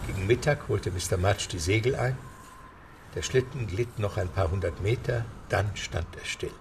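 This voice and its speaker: A man narrating a radio play in a measured storytelling delivery. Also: on the low side, vocal fry, background noise. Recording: narration over music.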